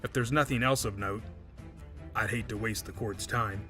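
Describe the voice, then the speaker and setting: Man acting a smug, sharp-voiced courtroom suspect. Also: fairly low pitch, steady tone, normal speed, soft background music. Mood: feigned innocence. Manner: formal, condescending, precise. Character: smug evasive male suspect on the witness stand, falsely polite. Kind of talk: audio drama acting.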